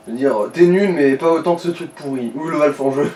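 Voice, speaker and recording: A man's voice: on the high side, brisk, warm tone. A man chatting casually about a video game as co-commentator, blunt and teasing with his friend. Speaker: co-commentator watching a friend play. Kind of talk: casual gaming chat.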